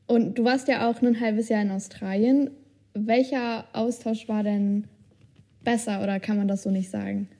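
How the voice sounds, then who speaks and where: A woman's voice: medium pitch, steady tone, moderate pace, mellow. A woman hosting a podcast, asking friendly questions and encouraging her guest. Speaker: podcast interviewer. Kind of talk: casual podcast interview.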